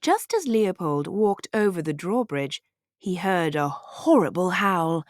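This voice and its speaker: A woman narrating a children's storybook aloud in an expressive, deliberate way. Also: on the low side, dry recording, studio quality.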